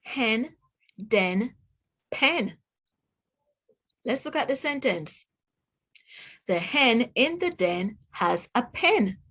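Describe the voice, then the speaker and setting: Slow, high-voiced woman reading to children. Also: lively intonation, a bit bright, breathy edge. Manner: deliberate word-by-word enunciation, asks the listener questions. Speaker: teacher reading aloud to young children. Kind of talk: read-aloud phonics lesson for children.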